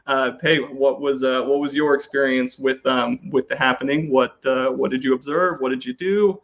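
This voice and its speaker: A man's voice: medium pitch, fairly even intonation, moderate pace, fairly bright tone. A man moderating a panel in a conversational style, handing questions to the guests.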